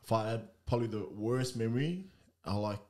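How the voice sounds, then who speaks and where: A young man from New Zealand with a lowish voice speaks as a guest in a small studio. His talk is relaxed and full of slang, and he laughs through his stories. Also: a little fast. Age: young adult. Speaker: guest rugby league player. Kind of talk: casual interview chat. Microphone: studio mic on desk stand with headphones.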